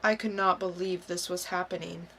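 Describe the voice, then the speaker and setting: A woman's voice: fairly low pitch, steady tone, moderate pace, fairly bright tone, slight background noise, pitched below the performer's own voice. A woman playing an awkward, nervous young man in an amateur comic book reading, sounding hesitant and unsure.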